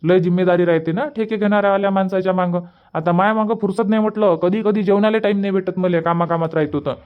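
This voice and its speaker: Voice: mid-range voice, steady tone, fast talker, clean tone, dull audio, lightly acted. A performer voicing a polite, busy young village man in a Marathi cartoon comedy, with a Varhadi accent and a respectful, coaxing manner. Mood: earnest. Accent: Varhadi Marathi from Vidarbha.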